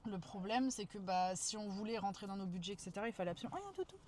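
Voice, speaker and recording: A woman's voice: mid-range voice, rapid-fire. Young woman, casual, some background noise. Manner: soft-spoken, slangy, informal. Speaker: streamer, travel vlogger. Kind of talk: casual live chat with viewers.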